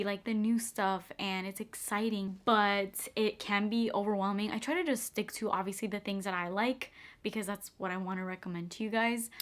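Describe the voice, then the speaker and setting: A young woman whose first language is Albanian talks through viewer questions in a relaxed vlog. Her speech is chatty and loose, with "like" dropped in constantly. She is recorded indoors at home on a camera mic. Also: medium pitch. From Albanian heritage. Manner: chatty, frequent "like" fillers. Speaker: beauty and perfume YouTuber. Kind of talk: casual get-ready-with-me Q&A chat.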